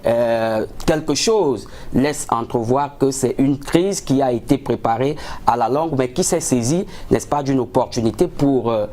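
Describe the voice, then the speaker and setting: A man answering as a political analyst in a formal, measured, somewhat hesitant way, dotted with rhetorical tags. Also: mid-range voice, mellow. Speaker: political analyst, studio guest.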